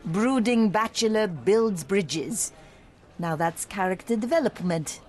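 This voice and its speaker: A woman's voice: mid-range voice, animated, slow pace, a little mellow. An actress voicing a playful, sly young woman journalist in a detective game, teasing and theatrical.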